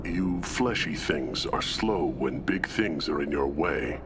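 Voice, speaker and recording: An actor voicing a hulking, simple-minded alien warrior in a video game, speaking in halting, solemn, resigned phrases. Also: male voice, extremely low pitch, expressive, background noise, exaggerated voice. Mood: solemn, resolute. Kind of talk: dramatic video game acting.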